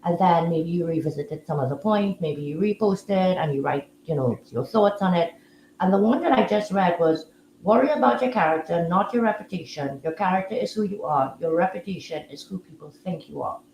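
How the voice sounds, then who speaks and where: This woman hosts a casual live audio room with a somewhat low voice. She talks in a relaxed, musing way and fills her pauses with ums. The sound is roomy and echoey, with faint ambience behind her. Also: muffled recording, slight background noise. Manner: relaxed, musing, with frequent fillers. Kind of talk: casual live-stream chat.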